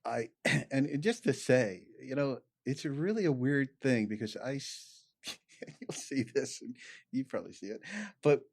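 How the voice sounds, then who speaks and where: A chiropractor chats on a podcast. His pitch swings widely as he talks, animated and full of "you know". He sounds relaxed and conversational. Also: unhurried, vocal fry. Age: 50s. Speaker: chiropractor guest.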